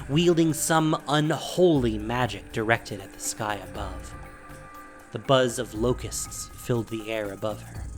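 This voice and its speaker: A man's voice: medium pitch, a bit bright. A man reading scripted dramatic fantasy narration as the storyteller of an audio drama.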